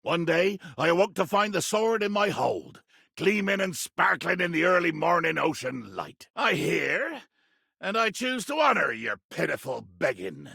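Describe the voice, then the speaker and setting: A raspy, blustering pirate captain for a video game, pitched high for a male voice and swinging widely in tone. He speaks in grand, pompous seafaring phrases, proud and hungry for adventure, with a heavy gravelly edge. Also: very animated, a little slow, sharp tone, dry recording, clean studio sound, exaggerated voice. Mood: haughty. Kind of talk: video game character acting.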